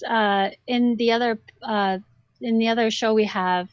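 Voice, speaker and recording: A woman's voice: a little high, fairly even intonation, measured pace, no room sound, dull audio. Giggly woman losing her voice, dry mic. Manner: giggly, chatty. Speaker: podcast co-host. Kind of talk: casual chat.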